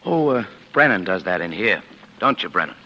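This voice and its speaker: An actor playing a brisk, sly police lieutenant with wry courtesy in a 1940s radio drama. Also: male voice, low-pitched, very animated, full character voice. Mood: knowing. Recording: dull, slightly noisy vintage radio recording.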